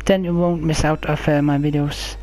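A person giving casual let's play commentary as a gaming channel host, in a halting, hesitant way. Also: mid-range voice, flat delivery, darkish tone.

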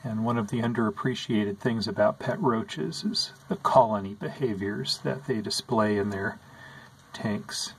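A man calmly narrating over footage of his pet insect tanks, explaining what they do as he films. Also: on the low side, a little hiss or hum, rough recording. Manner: slow, calm, explanatory. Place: indoors at an insect tank.

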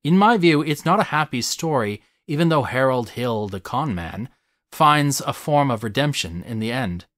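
A man in his thirties narrating a scripted video essay in a measured, deliberate, analytical way. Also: mid-range voice, lively intonation, fairly bright tone, no echo, clean studio sound.

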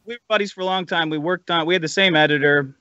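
A man with a bright, forceful voice goes off in a profane, sardonic rant. He is a podcast guest chatting casually, recorded close and dry, with a slightly muffled lo-fi sound. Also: fairly high pitch, fast talker, clean tone, no room sound. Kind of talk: casual chat, ranting.

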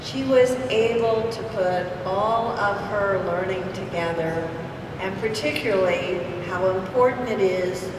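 An older woman giving a formal introduction from a stage, speaking in an unhurried, warm way. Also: medium pitch. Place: large hall on a stage. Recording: echoey hall, distant mic, background noise.

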